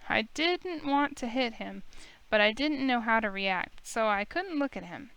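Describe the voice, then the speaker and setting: A woman's voice: fairly high pitch, animated, measured pace, a bit bright, clear, resonant tone, lo-fi sound. A woman streamer reading a visual novel aloud, telling the story expressively in the first person. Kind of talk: expressive story read-aloud of game text.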